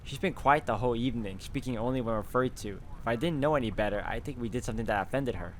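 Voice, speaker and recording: A man's voice: medium pitch, quick pace, slight background noise. A man hosting a game walkthrough, reading story text aloud and commenting casually.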